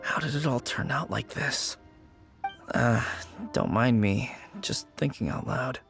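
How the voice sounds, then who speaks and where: A man's voice: medium pitch, slow pace, vocal fry, fairly bright tone. A man voicing a glum, hesitant funeral parlor underling in a video game. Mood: dejected. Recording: music behind the voice.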